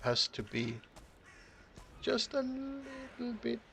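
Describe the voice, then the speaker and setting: A man's voice: very high-pitched, very animated, takes it slowly, vocal fry, a bit bright, a little hiss or hum. A man streaming a building game, chatting casually as he works and often chuckling, musing half to himself. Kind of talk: casual live-stream commentary while building.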